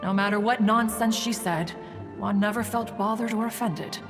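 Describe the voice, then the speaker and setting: A woman's voice: medium pitch, steady tone, normal speed, lightly acted. A woman playing a proud, wistful ancient female immortal in a game, speaking in formal, archaic, pompous phrases. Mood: haughty, fond. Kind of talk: scripted game dialogue, storytelling. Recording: long hall reverb, music behind. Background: music.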